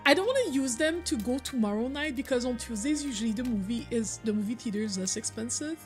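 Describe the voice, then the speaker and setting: A woman's voice: fairly high pitch, animated, fast talker, faint music behind. A young woman whose first language is French, chatting casually with her viewers on a live stream, full of "like" and repeated phrases. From Quebec, originally Cameroon.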